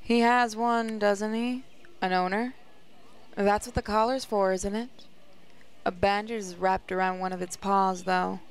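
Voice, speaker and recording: A woman's voice: medium pitch, fairly even intonation, measured pace, clear, resonant tone. A woman reading visual novel narration aloud in a reflective, storytelling way. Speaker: gaming channel host, reader.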